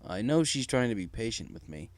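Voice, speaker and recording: A man voicing a hesitant, worried father pony in a storybook, halting and subdued. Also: on the low side, very animated. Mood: worried, downcast. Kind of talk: storybook character reading.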